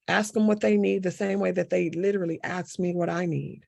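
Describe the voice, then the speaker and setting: A woman's voice: lowish voice, steady tone, a little fast, gravelly, a little mellow, dry recording. A woman from the American South, a city council candidate, answering a panel question with passion and repeating key words for emphasis. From Southern United States.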